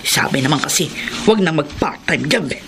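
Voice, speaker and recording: A woman's voice: low voice, lively intonation, moderate pace. A woman playing a worried, scolding poor mother in a Tagalog radio drama, with a Filipino accent. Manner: fretful, scolding. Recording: noisy radio drama with background ambience.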